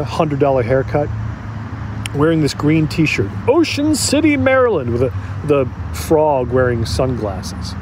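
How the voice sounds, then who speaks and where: A man's voice: medium pitch, lightly acted. Actor as agitated man telling his story over noise. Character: agitated man recounting a tense, secretive encounter. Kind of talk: audio drama monologue, conversational storytelling.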